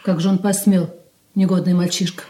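Actress as a mellow, low-voiced resentful daughter. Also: female voice, level tone, normal speed, lightly acted. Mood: aggrieved. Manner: aggrieved, scolding. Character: resentful, self-righteous married daughter, always complaining. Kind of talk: Russian stage-style radio drama acting.

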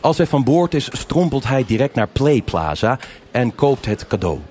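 A man's voice: medium pitch, very animated, normal speed, a little mellow, a little hiss or hum, close to an everyday voice. A man playing the narrator of a live noir radio crime drama, with music behind him. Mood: measured storytelling. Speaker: narrator and investigating officer in a radio crime serial. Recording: live performance with music underneath.

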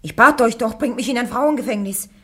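A high-voiced young woman on trial for heresy, earnest and pleading in an old public radio play. She talks with a plain, direct sincerity. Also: fairly quick, slightly rough. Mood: insistent. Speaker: accused.

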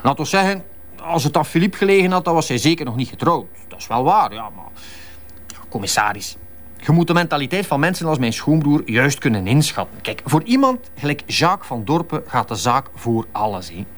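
An actor playing a chatty, jovial doctor in a radio drama, speaking with a Flemish accent and coaxing tag questions. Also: male voice, a little high, sing-song, brisk, fairly bright tone. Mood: chatty, confiding. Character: chatty, jovial male doctor, fan of crime novels.

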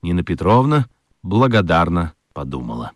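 Low-voiced male storyteller, close and dry. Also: sing-song, normal speed, dry recording. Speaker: narrator. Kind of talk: scripted storytelling narration for children's radio drama.

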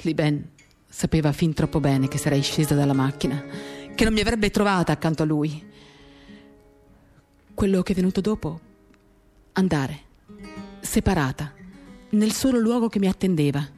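A woman with a slightly low voice reads literary fiction as radio theatre. Her delivery is intimate and measured, broken into short reflective phrases. An acoustic guitar plays softly behind her. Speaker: reader of a novel for radio theatre. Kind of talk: dramatic literary reading, first-person confiding narration. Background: acoustic guitar music.

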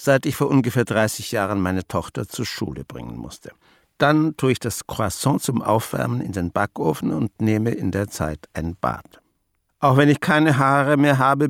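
A man's voice: mid-range voice, dry recording. An actor playing an older grandfather in a radio drama, wry and hesitant, set in his ways and given to dry questions. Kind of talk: naturalistic German radio drama dialogue.

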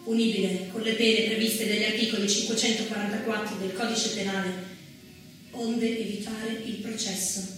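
A woman performing as a stern, formal narrator of laws, declaiming in a measured way from a distance, with heavy echo and faint music. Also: medium pitch, slight background noise, lightly acted. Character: stern female narrator reciting laws and court rulings. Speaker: stage actress. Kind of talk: dramatic scripted theatrical narration.

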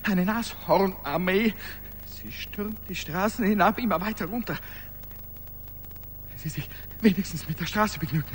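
A man's voice: high voice, moderate pace, pauses often, slight background noise, close to an everyday voice. An actor playing an anxious, bewildered, panicky everyman in a radio play, agitated and stammering. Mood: panicked. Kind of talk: 1980s German radio play acting.

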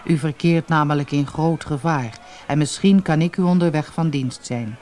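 A storybook narrator voicing a mysterious gaunt man, grave, courteous and formal, with a deep hollow voice. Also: normal speed, darkish tone, lightly acted. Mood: earnest, calm. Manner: formal, solemn, few words. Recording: noisy old cassette audiobook transfer.

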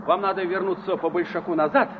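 A woman's voice: on the low side, steady tone, quick pace, close to an everyday voice. An actress playing a helpful local woman in an old Soviet radio play, matter-of-fact and obliging. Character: helpful local woman giving a stranger directions. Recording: noisy, dull old radio play recording.

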